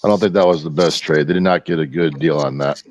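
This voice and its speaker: A man's voice: rumbling low, fairly even intonation, fast talker, no echo. A man on a sports panel giving plain, blunt opinions in casual football chat, with a slightly lo-fi sound.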